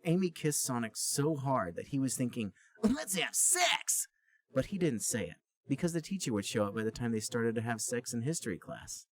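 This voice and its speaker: A man's voice: mid-range voice, expressive, a little fast, hardly any pauses, darkish tone. A man hosting a comedy podcast, narrating a story aloud in a plain storybook manner. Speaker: podcast host reading a story. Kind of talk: comic read-aloud narration.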